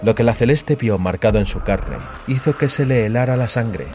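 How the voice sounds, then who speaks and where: A man's voice: medium pitch, normal speed, darkish tone, faint music behind. A man giving a dramatic narration of scripted fiction as an audiobook narrator.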